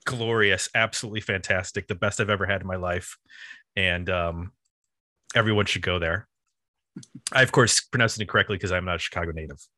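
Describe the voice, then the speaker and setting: A man's voice: low voice, brisk, sharp tone, dry recording, clean studio sound. A man with a nasal Buffalo, New York accent hosting a casual music podcast in a joking, chatty, digressive way. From US East Coast. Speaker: podcast host.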